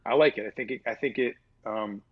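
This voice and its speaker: Husky mid-voiced man, rambling chat. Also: mid-range voice, a little fast, highs cut off. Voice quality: mid-register voice. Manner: rambling, lots of fillers and restarts, swears casually. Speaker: voice actor guest. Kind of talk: casual interview chat.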